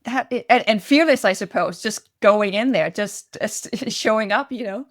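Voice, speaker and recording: A woman hosting a podcast, asking questions in an enthusiastic way with quick laughs and restarts. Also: mid-range voice, no echo, clean studio sound. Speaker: podcast host. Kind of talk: casual interview chat.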